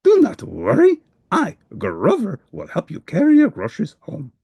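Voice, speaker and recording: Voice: mid-range voice, wildly swooping pitch, normal speed, vocal fry, mellow, slightly rough, dry recording, voice pushed up, full character voice. A performer voicing an eager, anxious, polite furry monster for a storybook, with formal, dramatic exclamations. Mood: apologetic, helpful. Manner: exclaiming, formal, dramatic wording without contractions. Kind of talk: storybook character voice.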